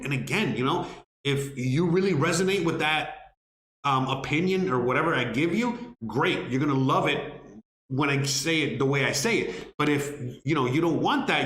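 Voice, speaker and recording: A man's voice: a little high, a bit bright. Blunt, swearing man in a roomy echo. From Miami, United States. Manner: blunt, profane, rambling with many fillers. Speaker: livestream host answering viewer questions. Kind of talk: casual live Q&A chat.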